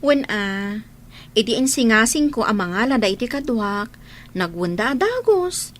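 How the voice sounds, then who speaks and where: A woman's voice: on the high side, big pitch swings, unhurried. A woman acting in a Filipino radio drama as a friendly, upbeat domestic helper, with an Ilocano accent. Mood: cheerful, welcoming. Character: welcoming, upbeat veteran domestic helper abroad.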